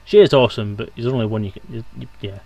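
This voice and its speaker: A man's voice: on the low side, rapid-fire, mellow. A man hosting a casual livestream, sweary and teasing as he talks. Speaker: stream host.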